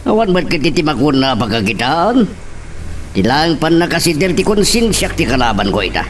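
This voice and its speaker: A man's voice: a little high, big pitch swings, normal speed, slight background noise. An actor with an Ilocano accent playing a defensive father in a radio drama. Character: defensive father caught in shady business.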